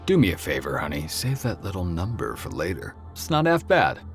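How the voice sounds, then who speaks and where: A man's voice: low-pitched, wildly swooping pitch, a little fast, gravelly, soft background music, exaggerated voice. A man playing a cocky, crude, flamboyant antihero god in an audio drama, swaggering, sarcastic and teasing. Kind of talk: audio drama character acting.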